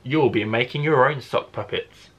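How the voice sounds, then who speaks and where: A man's voice: mid-range voice, normal speed, fairly bright tone, husky, lo-fi sound. A man voicing an upbeat, encouraging female teacher from a children's storybook, announcing to her class. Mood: cheerful announcing.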